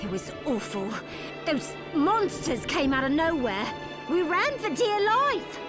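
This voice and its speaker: An actress playing a grief-stricken villager widow in a video game, emotive and pleading, set on vengeance. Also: female voice, high voice, sing-song, takes it slowly, music in the background, exaggerated voice. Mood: shaken, grieving.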